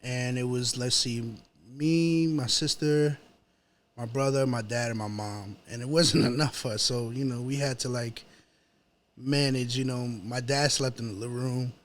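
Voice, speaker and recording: A man with a New York accent chatting casually as a podcast guest, telling personal stories in a slangy, halting, repetitive way. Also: medium pitch, steady tone. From New York City. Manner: slangy, halting, repeats himself.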